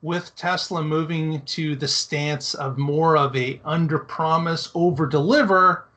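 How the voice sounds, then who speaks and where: A man's voice: fairly high pitch, steady tone, unhurried, bright, forceful tone. A man co-hosting a talk show, giving casual, opinionated commentary in a conversational, explanatory way.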